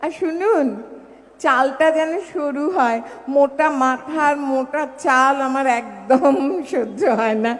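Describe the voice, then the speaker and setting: A high-pitched, chatty young bride-to-be in an audio drama. She is coy but bold, teasing and bossing her listener playfully. The sound carries a long, hall-like reverb. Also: slow pace. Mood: chatty, demanding.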